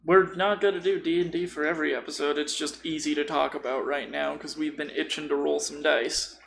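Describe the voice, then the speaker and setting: A man's voice: on the high side, steady tone, fairly quick, creaky. A man chatting casually as a podcast co-host and dungeon master, blunt and given to swearing. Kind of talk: casual chat about tabletop role-playing. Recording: faint background noise.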